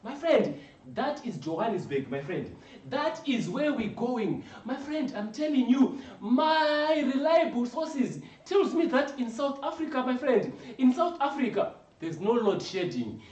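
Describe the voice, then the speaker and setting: An actor playing an excitable young male migrant who keeps saying "my friend". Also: extremely high pitch, a little slow, full character voice. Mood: excited boasting. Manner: animated, repeats "my friend". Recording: roomy, distant, dull sound.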